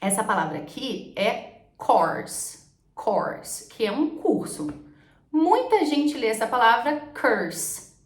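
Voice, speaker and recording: A woman's voice: on the high side, very animated, takes it slowly. A woman with a Brazilian Portuguese accent explaining exam tips to the camera as an English teacher. She checks in with her listeners often. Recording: echoey room.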